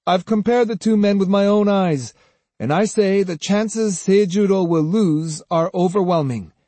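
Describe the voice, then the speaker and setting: A man's voice: sits high, unhurried, mellow, dry recording, voice pushed up. A man voicing an arrogant, boastful young swordsman with a pompous, sneering and emphatic delivery in a storybook reading. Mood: snide, warning. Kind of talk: dramatised audiobook storytelling.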